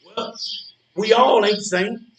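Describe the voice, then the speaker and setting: A man's voice: high voice, measured pace, creaky, fairly bright tone, exaggerated voice. An actor playing a feisty, boastful old man in a stage play, talking in a folksy, defiant way. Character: feisty, boastful old man who refuses to feel helpless. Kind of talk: live stage play dialogue. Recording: roomy stage recording from far off.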